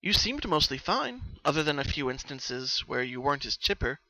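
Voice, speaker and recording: A man's voice: a little high, sing-song, moderate pace, pushed and bright, no echo, full character voice, rough recording. A man voicing a studious, caring young female unicorn who speaks in an earnest, analytical and reassuring manner in a story reading. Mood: inquisitive.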